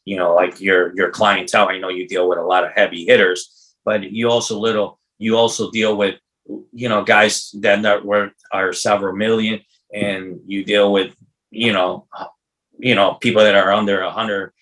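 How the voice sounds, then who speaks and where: A man's voice: fairly low pitch, flat delivery, moderate pace, fairly bright tone, low-quality recording. A man in his fifties hosting a podcast, chatting informally and loosely with slang and repeated words. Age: 50s. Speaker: podcast host. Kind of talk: casual, rambling interview chat.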